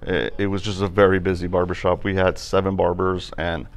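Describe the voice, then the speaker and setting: Man, 30s, shop owner. Lowish voice, relaxed and rambling, interviewed in his barbershop. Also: a little fast, creaky. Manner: relaxed, chatty, with many fillers. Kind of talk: casual interview answers.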